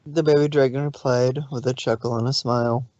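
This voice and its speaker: A woman's voice: a bass voice, fairly even intonation, fairly quick, dark tone, no echo. A woman narrates a story from a script. The recording is somewhat dull and lo-fi, with a very dry sound.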